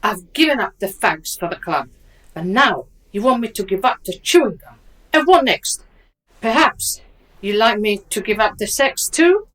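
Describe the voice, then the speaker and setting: A woman's voice: medium pitch, lively intonation, moderate pace, fairly bright tone, low-quality recording. A woman playing a brash, dim-witted female footballer in a radio comedy, with a blunt, defiant, colloquial way of talking. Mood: indignant, defiant. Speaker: actor in a radio play. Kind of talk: radio comedy drama acting.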